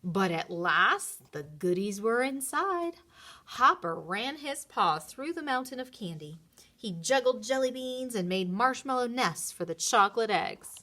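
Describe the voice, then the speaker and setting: Woman, storybook narrator, expressive read-aloud, bright tone, very lively pitch. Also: unhurried.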